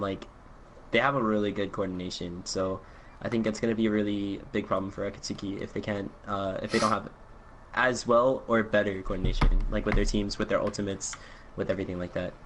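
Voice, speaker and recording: A young man calls the action of an online team match in a loose, chatty way. He leans on "like" as he talks. His home mic carries a clear layer of noise under the voice. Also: fairly low pitch, little pitch movement, fast. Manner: loose, filler-heavy, lots of "like". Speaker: esports caster. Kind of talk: casual game commentary.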